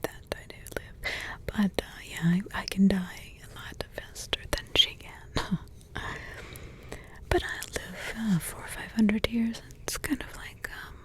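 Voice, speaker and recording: A centuries-old witch, mother of a vampire, who gossips and teases in a hushed, unhurried whisper, as a woman plays her. The voice sits at an ordinary middle pitch and drifts along at a very slow pace. This is an intimate ASMR roleplay. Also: steady tone, natural voice. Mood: teasing, gossipy.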